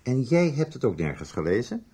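An actor playing a knowing, guarded older man in a radio soap, questioning in a deliberate, probing way. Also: medium pitch, sing-song, dark tone, husky. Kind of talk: Dutch radio soap acting.

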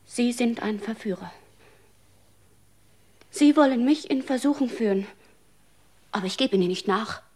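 A woman's voice: a little high, unhurried, long pauses. A frightened grieving mother. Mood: pleading. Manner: pleading, shifts from hushed whisper to raised protest. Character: grieving honest mother, fearful and defensive. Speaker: mother at a funeral. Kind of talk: radio drama acting.

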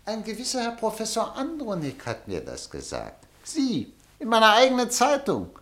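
Insistent scheming editor, pitch swooping widely, pushy, vintage radio drama. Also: male voice, huge pitch swings, moderate pace, a bit bright, heavily acted. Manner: insistent, persuasive. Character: pushy, scheming male newspaper boss. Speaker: newspaper director. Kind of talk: 1950s German radio play acting.

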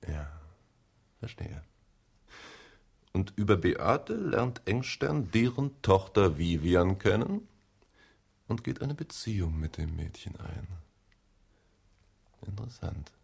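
An actor playing a shrewd middle-aged homicide detective in a radio drama, questioning in a formal, insistent way with a rough voice. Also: male voice, low voice, big pitch swings, slow pace, pauses often, full character voice. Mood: dry, suspicious. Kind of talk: radio drama interrogation dialogue.

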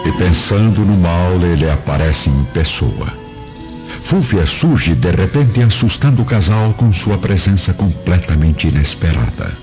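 Very deep male radio drama narrator. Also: lively intonation, a little slow. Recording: muffled recording with music bed.

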